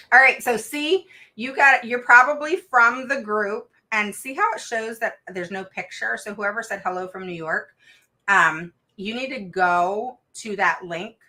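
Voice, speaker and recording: A woman's voice: mid-range voice, pushed and bright. A middle-aged woman hosting a casual live stream for parents, reading out viewer comments in a frank, talkative way with lots of fillers and restarts. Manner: calls herself a roaring mama advocate. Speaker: host of a live stream for special education parents.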